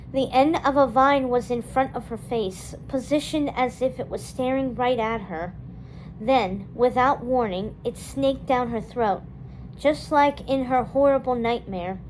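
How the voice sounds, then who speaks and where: Higher-voiced woman reading a story. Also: a little hiss or hum, poor audio. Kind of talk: children's novel read-aloud, narration.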